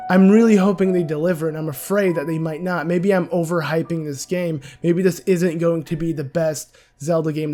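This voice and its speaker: A young man with a sick, raspy voice that is nearly gone talks casually through a gaming discussion. His pitch sits a little high for a man. He rambles, with plenty of fillers and self-corrections, and soft music plays faintly behind him. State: hoarse, voice nearly gone from illness. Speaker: video game channel host.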